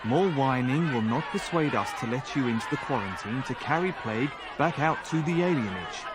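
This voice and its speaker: An actor voicing a condescending mage official in a video game, formal and officious. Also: male voice, medium pitch, animated, brisk, natural voice. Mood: officious, stern. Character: condescending foreign mage official managing a crowd. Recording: game dialogue in loud crowd noise.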